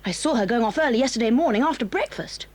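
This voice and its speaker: A woman's voice: a little high, expressive, fast, a little mellow. An actress playing a spiteful, resentful teenage schoolgirl with a whiny manner, in a 1980s audio drama. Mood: sneering.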